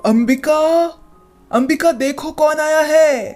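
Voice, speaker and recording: A woman's voice: high voice, slow, a little mellow, faint music behind. A woman voicing a young village man, a caring, protective brother who speaks earnestly. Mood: upset. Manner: earnest, protective, raised voice when upset.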